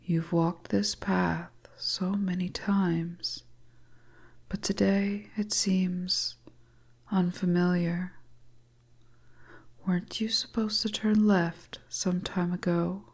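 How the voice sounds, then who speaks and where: A woman narrating a hypnotic story in a hushed, breathy whisper as a storyteller. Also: lowish voice, little pitch movement. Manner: slow, hushed, second-person suspense narration.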